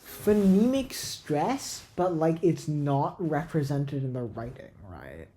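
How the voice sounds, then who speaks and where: A woman's voice: sits low, sing-song, slow pace, warm tone, slight background noise. A woman whose first language is Cantonese, thinking aloud at her computer as she plans a made-up language, rambling and questioning herself with many fillers. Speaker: language creator. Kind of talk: thinking aloud while screen-recording.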